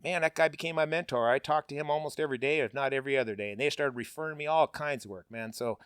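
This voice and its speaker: A man's voice: mid-range voice, quick pace, a bit bright, clean tone, no echo, studio quality. A middle-aged man, a paving business owner, talking candidly and bluntly with lots of casual fillers. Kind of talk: candid interview talk.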